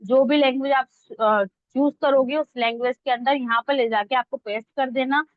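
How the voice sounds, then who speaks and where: Female online tutor, higher-pitched voice, informal and conversational step-by-step teaching, slightly muffled lo-fi recording. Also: fairly even intonation, normal speed, no room sound. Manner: conversational, checks that listeners follow. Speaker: instructor teaching reel editing online. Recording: dull lo-fi close dry audio.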